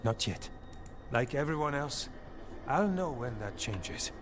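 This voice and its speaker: An actor playing an earnest young male elf alchemist in a video game, speaking in a thoughtful, measured way. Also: medium pitch, animated, noisy background. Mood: uneasy, thoughtful. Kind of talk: video game dialogue acting. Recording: music behind the voice.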